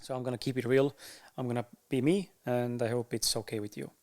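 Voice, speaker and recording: A man with an Estonian accent, a podcaster speaking to camera in a candid, unhurried way, with frequent pauses to find his words. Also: medium pitch, steady tone, a little mellow. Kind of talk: casual talk to camera.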